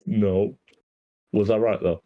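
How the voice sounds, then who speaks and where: A man playing a direct, teasing teenage girl in a screenplay table read, with a husky voice. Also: lowish voice, steady tone, takes it slowly, phone-quality sound. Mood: teasing, probing.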